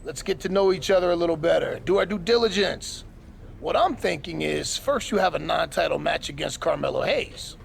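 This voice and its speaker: A man playing a sly, smug wrestling manager in a video game cutscene, with a gravelly voice and taunting delivery. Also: on the high side, brisk, slight background noise. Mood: slick, mocking. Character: sly, smug male wrestling manager scheming against a champion.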